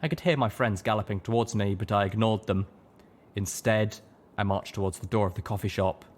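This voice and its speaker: A man's voice: fairly low pitch, fairly quick. A man narrating a dramatic first-person story as an audiobook-style reader. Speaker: narrator of a fan fiction reading.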